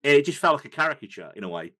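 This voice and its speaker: A man's voice: medium pitch, very animated, rapid-fire, bright, forceful tone. A man hosting a podcast, chatting about films in a rambling, enthusiastic way with plenty of fillers. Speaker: podcast host. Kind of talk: casual film review chat.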